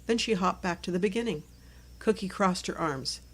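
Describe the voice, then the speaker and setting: A woman reading a children's chapter book aloud as narrator, in clear, simple phrases meant for young listeners. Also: lowish voice, normal speed, fairly bright tone. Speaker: storybook narrator.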